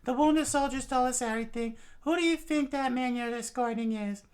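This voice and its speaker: A man voicing an eager young woman sergeant who barks urgent, shrill orders. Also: fairly quick, clean tone.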